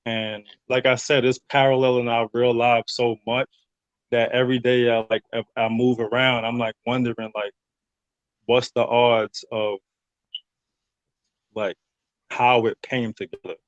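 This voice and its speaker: Male interviewee, informal and thoughtful, halting delivery, close dry sound but muffled. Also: mid-range voice, little pitch movement, dry recording. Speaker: radio drama cast member being interviewed. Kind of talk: casual interview chat.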